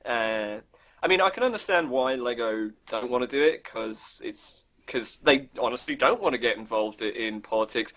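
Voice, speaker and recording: A man's voice: mid-range voice, expressive, normal speed, a bit bright. Casual, rambling man talking opinions. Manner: rambling, thinks aloud, acts out imagined dialogue. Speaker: podcast host.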